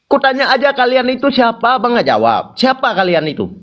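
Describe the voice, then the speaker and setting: High-pitched, insistent man. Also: wildly swooping pitch, brisk, highs cut off. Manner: insistent, confrontational, repeats questions. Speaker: participant in an online debate room. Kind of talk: informal heated argument.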